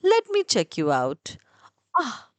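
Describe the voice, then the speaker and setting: A woman's voice: fairly high pitch, wildly swooping pitch, takes it slowly, no echo, heavily acted. A woman voicing a wise, thoughtful male doctor in a children's storybook.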